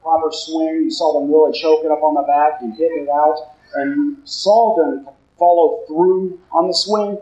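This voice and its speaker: Deep-voiced deacon, low-key conversational sermon, roomy and slightly muffled sound. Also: male voice, steady tone, moderate pace, dark tone. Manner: low-talking, conversational preaching with questions to the congregation. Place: church. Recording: roomy, slightly dull church recording.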